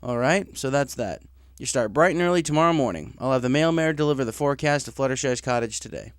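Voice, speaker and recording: A man's voice: mid-range voice, expressive, fast, clear, resonant tone, no room sound, clean studio sound, natural voice. A man voicing an easygoing, businesslike adult male pegasus boss in a story, casual, frank and matter-of-fact. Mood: instructive.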